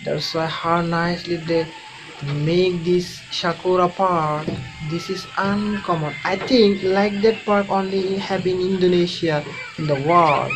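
A man in his thirties with a Bangladeshi accent, giving an excited reaction commentary in effusive, halting, repeated phrases. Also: sits high, music in the background, poor audio. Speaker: reaction vlogger.

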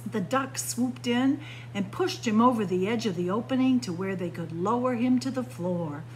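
A woman reading a children's picture book aloud as a story time narrator, with expressive, dramatic phrasing. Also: normal speed, low-quality recording. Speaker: library story time reader. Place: indoors by bookshelves. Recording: clear background noise with low hum.

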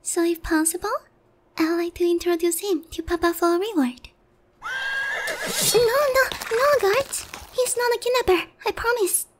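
A woman's voice: very high voice, moderate pace, noisy background. A woman playing a naive, sheltered young harpy noblewoman in a roleplay ASMR, polite, hesitant and earnest in a girlish way. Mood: apologetic, pleading.

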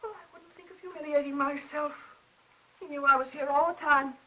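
An actress playing a fussy, chatty, easily offended middle-aged American aunt in a 1950s Hollywood film. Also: female voice, high-pitched, fairly even intonation, brisk, muffled recording, lightly acted. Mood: indignant. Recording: hissy old 1950s film soundtrack.

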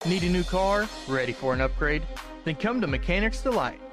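A man playing a pushy, eager car dealership pitchman in a spoof radio commercial. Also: animated, a little fast, close to an everyday voice. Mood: hard-sell. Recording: music behind the voice.

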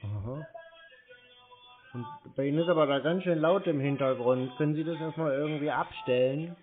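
A man arguing casually on a phone call in a curt, provocative deadpan. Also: a little high, normal speed, soft background music. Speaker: prank call recipient baiting scam callers. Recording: tinny phone-like call audio.

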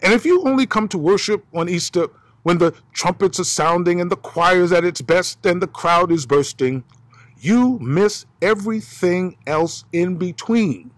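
A man in his fifties preaching a sermon outdoors as pastor, with dramatic emphasis and slow stresses, filmed on a camera held at arm's length. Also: sits high, no room sound. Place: outdoors by pine trees. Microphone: phone or camera at arm's length.

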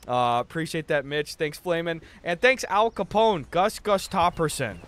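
A man's voice: fairly high pitch, moderate pace, fairly bright tone. A young adult man chatting casually on a gaming livestream, slangy, jokey and profane, with a slightly tired, worn-down voice. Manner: slangy, jokey, profane, often says "dude" and "bro". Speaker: livestream host. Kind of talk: casual gaming stream chat, reading out viewer messages. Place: indoor room at a streaming setup.

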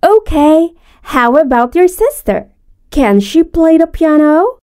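A woman playing a teacher who asks her pupils clear, encouraging questions in a scripted textbook dialogue. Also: sits high, big pitch swings, slow pace, a little mellow.

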